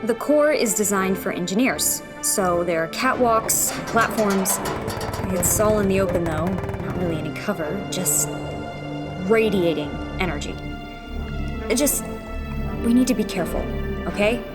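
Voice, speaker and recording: A woman's voice: medium pitch, animated, measured pace, close to an everyday voice. Woman playing a determined rebel engineer. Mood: focused. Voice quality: earnest, takes charge, explains plans. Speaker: rebel leader. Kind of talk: sci-fi audio drama acting. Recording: loud music over the voice.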